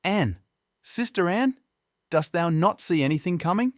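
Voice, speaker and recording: A man's voice: sits high, animated, measured pace, dry recording, studio quality, voice pushed up. A man voicing a frightened young wife in a fairy tale, pleading anxiously in formal, archaic words. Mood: urgent, desperate. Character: distressed, pleading young wife in mortal fear. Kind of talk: storybook character voice in narrated fairy tale.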